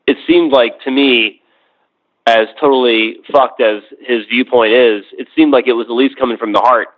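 Through a narrow, phone-like line, a man with an average-pitched voice talks casually. He is tentative and measured, restarting sentences to qualify his points. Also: steady tone. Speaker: podcast discussion guest. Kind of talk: casual conversational discussion.

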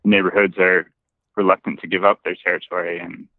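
A man's voice: sits low, level tone, brisk, dry recording. A man chatting casually as a podcast guest over a remote call with muffled sound. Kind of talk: casual chat. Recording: dull band-limited remote call.

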